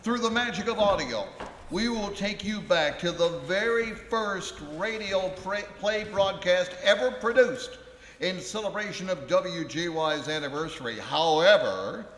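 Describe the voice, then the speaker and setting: High-pitched, mock-grand stage narrator. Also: male voice, a bit bright. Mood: grand welcoming. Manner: declamatory, mock-grand, deliberate pauses. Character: Ed Smith, theatrical 1920s actor narrating to unseen listeners. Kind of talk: comic live radio play narration. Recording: live in echoey ballroom, long reverb.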